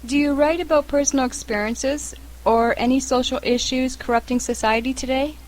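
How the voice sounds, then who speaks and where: Encouraging woman interviewer, higher voice. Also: steady tone, moderate pace, a little hiss or hum, low-quality recording. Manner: encouraging, upbeat. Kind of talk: interview questions.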